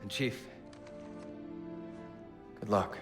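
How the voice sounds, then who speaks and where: An actor playing a sympathetic navy commander in a video game, earnest and restrained, with a creaky low voice. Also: male voice, extremely slow. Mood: confiding, earnest. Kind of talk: cinematic video game acting. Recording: echoey reverb, music behind.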